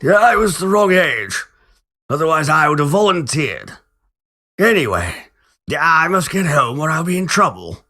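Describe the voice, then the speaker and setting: A man acts out a little old man from a spooky story, pitched high and bright. He is courteous in an old-fashioned way, a bit hesitant and muddled as he talks. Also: sing-song, moderate pace, exaggerated voice. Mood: fretful. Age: late sixties.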